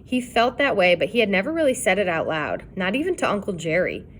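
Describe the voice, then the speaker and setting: A woman reading a children's chapter book aloud in an expressive storytelling style. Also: medium pitch, brisk, slight background noise. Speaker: reader.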